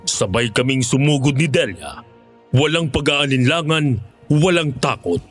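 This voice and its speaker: Guy as ex monster hunter telling a grim horror story, average pitch, serious, soft music behind. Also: expressive, unhurried. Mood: grave. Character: former male aswang hunter recounting his grim experience. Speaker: narrator. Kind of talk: dramatic horror story narration in Tagalog.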